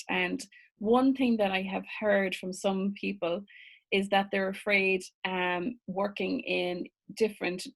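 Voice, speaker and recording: A woman's voice: mid-range voice, vocal fry. A woman with an Irish accent leading a live online business masterclass, chatty, laughing often and reading out viewer comments.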